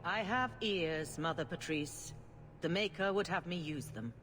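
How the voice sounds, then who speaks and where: An actor playing a calm elderly church leader who speaks in a measured, gently reproving way. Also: male voice, fairly high pitch, a little hiss or hum. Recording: long reverb, faint ambience.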